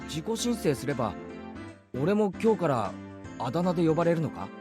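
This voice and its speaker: An actor playing a cheeky, wry teenage schoolboy in a visual novel, with a teasing, theatrical manner. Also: male voice, fairly high pitch, sing-song, very fast, music in the background. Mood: casual, wry. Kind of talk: Japanese visual novel voice acting.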